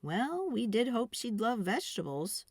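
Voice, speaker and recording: Patient, practical mum for a children's storybook, played by a woman; high, lilting, tactful and coaxing. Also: big pitch swings, normal speed, no echo, clean studio sound. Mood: resigned.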